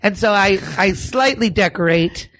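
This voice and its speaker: A woman's voice: mid-range voice, normal speed, a bit bright, no echo, poor audio. A woman guest on a podcast, chatting in her apartment, upbeat and giggly. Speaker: podcast guest, stage performer. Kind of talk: casual podcast chat.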